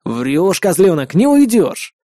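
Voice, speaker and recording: Man acting a high-voiced, cocky vigilante. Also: very animated, takes it slowly, mellow, no echo, clean studio sound, exaggerated voice. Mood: taunting. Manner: flippant, sardonic. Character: cocky wisecracking male vigilante hero.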